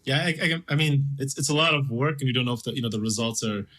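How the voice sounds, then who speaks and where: A man hosting an interview podcast, talking in halting bursts with frequent restarts. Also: mid-range voice, a bit bright. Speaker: podcast host. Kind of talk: interview conversation.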